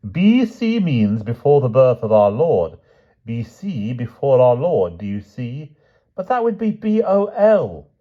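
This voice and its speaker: A man's voice: mid-range voice, very animated, a little slow, muffled recording, voice pushed up. A man voicing a pompous foreign knight tutoring children, speaking in broken, stilted formal English. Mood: stern.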